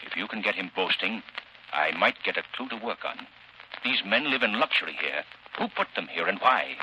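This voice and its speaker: Intense crime-fighting gentleman hero, low voice, very forceful, hissy old radio drama. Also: very animated, moderate pace, full character voice, low-quality recording. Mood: determined. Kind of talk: 1930s radio acting. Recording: hissy 1930s radio recording.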